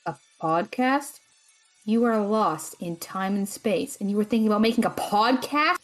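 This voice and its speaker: A woman's voice: medium pitch, moderate pace, soft background music, lightly acted, low-quality recording. A woman playing a practical, exasperated young female student with a skeptical, dry manner in an audio drama. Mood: skeptical, resigned.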